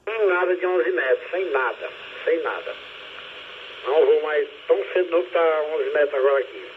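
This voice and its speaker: High-voiced man over narrow-band radio. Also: normal speed, a little hiss or hum. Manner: colloquial, repeats his phrases. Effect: two-way radio. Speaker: amateur radio operator. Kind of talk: casual two-way radio chat.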